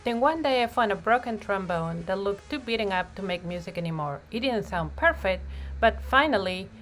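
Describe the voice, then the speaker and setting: A woman narrating a children's picture book in an expressive read-aloud style, with deliberate phrasing and emphasized words. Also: medium pitch, poor audio. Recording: music playing behind the voice. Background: music.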